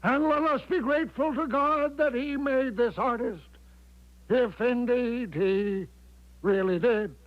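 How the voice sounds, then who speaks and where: High-pitched, pious, exultant believer. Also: male voice, takes it slowly, a little hiss or hum. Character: pious, exultant man thanking Providence for his rescue. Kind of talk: 1970s radio drama acting. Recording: muffled vintage radio broadcast.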